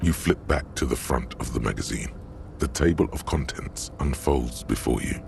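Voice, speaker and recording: An actor playing the dry, erudite inner voice of a detective's mind in a video game, measured and drily ironic, with a gravelly tone. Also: male voice, animated, darkish tone, a little hiss or hum, heavily acted. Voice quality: very deep, gravelly. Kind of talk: scripted narration of a video game. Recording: music behind. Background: game music.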